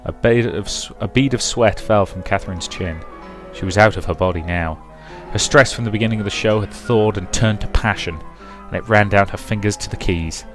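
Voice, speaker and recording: A man's voice: on the low side, brisk, few pauses, fairly bright tone, soft background music. A man narrating a visual novel playthrough, reading the story aloud and adding casual commentary, with a rambling way of talking. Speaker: let's player and narrator.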